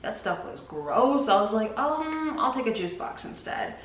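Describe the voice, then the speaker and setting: A woman's voice: a little high, measured pace, far from the mic. A woman in her mid twenties chatting casually and candidly to camera, slangy and informal, slipping into little dramatized asides. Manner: animated, dramatizing her own thoughts in little acted asides. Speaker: vlogger. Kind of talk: casual chit-chat to camera. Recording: roomy echo, off-mic, faint background noise.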